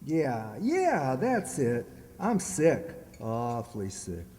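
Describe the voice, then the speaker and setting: An actor playing a sulky, jealous, self-pitying husband with a plaintive, halting delivery in radio comedy style. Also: male voice, medium pitch, wildly swooping pitch, a little mellow, a little hiss or hum. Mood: mopey, feigning illness. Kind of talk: old-time radio comedy acting, re-created. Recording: echoey hall, long reverb.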